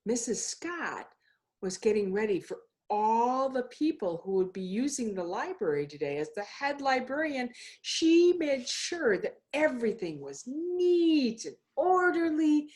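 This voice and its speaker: A woman reading a children's story aloud in a deliberate, expressive way, as a guest minister. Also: a little high. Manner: slow, deliberate, expressive phrasing. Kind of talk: storybook read-aloud for all ages.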